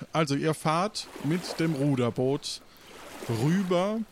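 A man's voice: on the high side, slow pace, noisy background. A man narrating an improvised audio role-play as game master, casual and hesitant in setting the scene.

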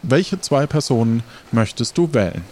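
A man's voice: mid-range voice, expressive, normal speed, darkish tone. A man hosting an audio role-play game as its game master and narrator. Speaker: game master, podcast host.

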